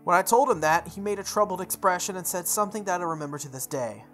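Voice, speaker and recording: Expressive reader stumbling through game text over music. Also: medium pitch, fast talker. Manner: stumbles over words and repeats phrases. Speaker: gamer reading a visual novel aloud. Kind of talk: playthrough read-aloud with offhand asides.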